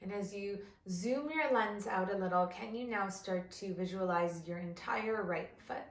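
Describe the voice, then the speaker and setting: A woman leading a guided body scan meditation as an instructor, gentle and measured, with long pauses between phrases. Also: medium pitch, reverberant, poor audio.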